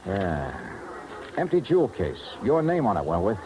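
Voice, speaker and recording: An actor playing a tough, wry, guarded American investigator in a 1950s radio drama. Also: male voice, fairly low pitch, wildly swooping pitch, moderate pace, background noise. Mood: brusque. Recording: vintage 1950s radio recording, music behind.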